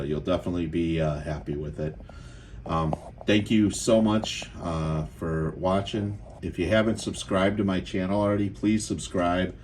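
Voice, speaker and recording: Deep voiced guy casually showing off gear he's selling, kinda halting, noisy home video. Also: darkish tone. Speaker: auction seller demonstrating radio gear. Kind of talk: casual unscripted product demo. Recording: clear background noise, home video.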